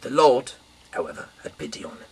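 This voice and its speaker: A man's voice: mid-range voice, very animated, brisk, husky. A man in his thirties reading scripture aloud in an informal but dramatic way, with the odd stumble. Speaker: Bible reader. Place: small dim room indoors. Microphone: camera mic from a little way off. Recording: distant mic, faint background hiss.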